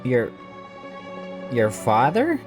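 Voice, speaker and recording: A woman's voice: a bass voice, huge pitch swings, drawn-out words. A woman reacting casually over gameplay as a video creator. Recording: game music behind. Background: game music.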